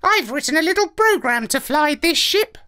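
A man's voice: extremely high pitch, lively intonation, moderate pace, sharp tone, pitched above the performer's own voice, full character voice. A man voicing a bossy, scornful, know-it-all parrot in a squawking, curt way for a children's story. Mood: dismissive. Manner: curt, dismissive.

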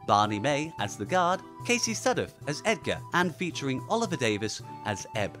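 Male announcer for scripted end credits, mid-pitched, faint music beneath. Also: fairly bright tone.

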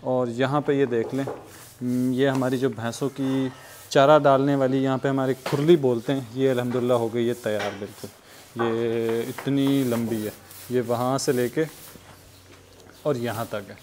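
A cheerful young man from Pakistan talks through his day in a relaxed vlog style, often breaking into light laughter. He speaks at a medium pitch into a clip-on mic outdoors. A faint hum of open-air ambience sits behind him. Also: normal speed. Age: young adult. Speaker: vlogger. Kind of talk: casual vlog narration. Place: outdoors, farmland and building site. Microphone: lapel mic.

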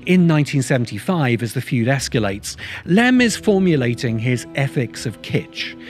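Male narrator, middle-aged, for video essay: lively intonation, deliberate emphatic phrasing, medium pitch, music underneath. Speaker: host of a science fiction video essay channel. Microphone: large microphone close in front of him.

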